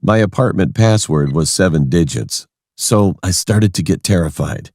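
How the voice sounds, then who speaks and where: Very deep-voiced male horror narrator. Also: animated, moderate pace, a little mellow, dry recording, clean studio sound. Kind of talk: scripted first-person horror narration.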